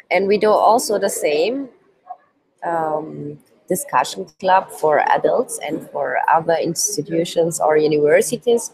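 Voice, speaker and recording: A woman's voice: low-pitched, poor audio. A woman in her twenties who is not a native English speaker gives a live online training presentation in hesitant English full of ums, while she is sick. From Switzerland, Turkish roots. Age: 20s. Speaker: youth work trainer.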